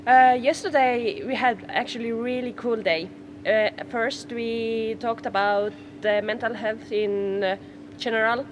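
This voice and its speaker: A woman's voice: fairly high pitch, fairly even intonation, measured pace. A young woman, speaking in a casual interview and hesitating with filler sounds. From Estonia. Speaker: youth rally participant. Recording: noisy outdoor recording.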